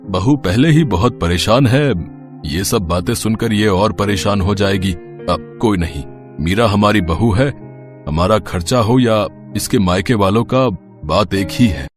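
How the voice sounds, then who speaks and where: A man playing a calm, protective family man in a cartoon household drama, with a placating manner. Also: on the low side, measured pace, faint music behind.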